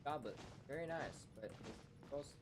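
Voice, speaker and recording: A man's voice: medium pitch, measured pace, heavy background noise. A man casually commenting on his gameplay with laid-back, slangy remarks. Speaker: gaming streamer. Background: loud game music.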